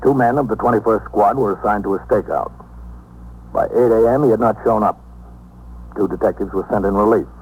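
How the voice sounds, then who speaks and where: An actor playing a dry, tough police captain, matter-of-fact and clipped in the style of a 1950s radio drama. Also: male voice, fairly low pitch, brisk, long pauses, husky, narrow, tinny audio. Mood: factual, dry. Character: dry, tough New York police captain narrating cases. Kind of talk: factual scripted narration, 1950s radio acting. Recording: noisy, humming 1950s radio recording.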